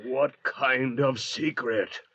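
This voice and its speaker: A man's voice: medium pitch, fairly even intonation, very slow, a bit bright, breathy edge, exaggerated voice. A man acting a sneering, menacing castaway convict with a bitter edge, in a 1940s radio drama. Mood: suspicious. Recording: roomy, dull 1940s radio recording.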